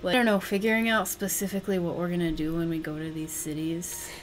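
A woman's voice: lowish voice, flat delivery, fast talker, faint music behind. A young woman co-hosting a casual home podcast, earnest and rambling with lots of fillers, recorded on a webcam mic in a room with a green curtain. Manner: earnest, rambling, says like a lot. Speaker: podcast co-host. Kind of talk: casual chat.

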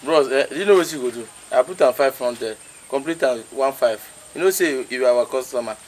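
A man's voice: mid-range voice, a little fast, darkish tone, close to an everyday voice. A man playing a pushy Nigerian street seller, noisy recording. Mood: insistent. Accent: Nigerian Pidgin. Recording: noisy, insect-like chirps and clicks.

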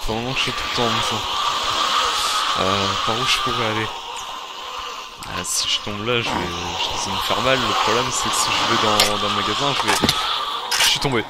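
A man's voice: lowish voice, fairly quick, pauses often. Man improvising survival gameplay commentary, very noisy recording. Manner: muttering to himself, repeating phrases. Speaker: gamer playing a zombie survival roleplay series.

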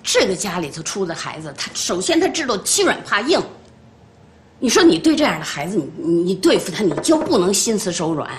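A woman's voice: mid-range voice, sing-song, fairly quick, reverberant, natural voice. An actress playing a harsh, domineering older grandmother who nags and scolds sharply. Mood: lecturing. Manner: nagging, scolding, sharp tongue. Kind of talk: TV drama acting.

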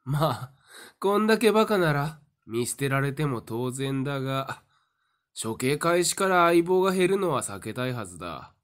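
A man playing a sly, smug fortune-teller in a voice drama, theatrical and condescending with a taunting calm. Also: mid-range voice, normal speed, close to an everyday voice. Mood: smugly calm. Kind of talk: Japanese voice drama acting.

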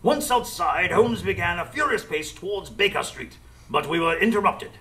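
A man playing an earnest, loyal gentleman companion who narrates a live radio drama in florid, expressive prose. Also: sits high, moderate pace, slight background noise, exaggerated voice. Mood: dramatic. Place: live performance space before an audience.

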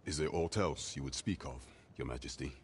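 An actor playing a grave, loyal guard captain in a fantasy game, with formal, measured, courtly archaic speech. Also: male voice, a bass voice. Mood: dutiful. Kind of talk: scripted video game dialogue in archaic English.

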